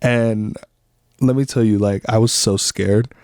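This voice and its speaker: A young man from the Philippines hosting a podcast, talking in a relaxed, rambling way with lots of fillers and slang. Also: fairly low pitch, unhurried, no echo, clean studio sound. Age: young adult. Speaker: podcast host. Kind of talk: casual reflective monologue.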